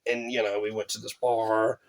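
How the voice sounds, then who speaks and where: A man's voice: fairly low pitch, moderate pace. A man telling a rambling story in casual chat, with lots of fillers and restarts. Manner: rambling, animated, many fillers. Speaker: band member telling a story.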